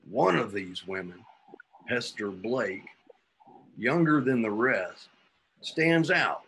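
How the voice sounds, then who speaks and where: A man narrating a drama script and its stage directions deliberately, pausing between phrases. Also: medium pitch, a bit bright, rough recording. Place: indoors at home, video call. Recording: faint noise, home video call.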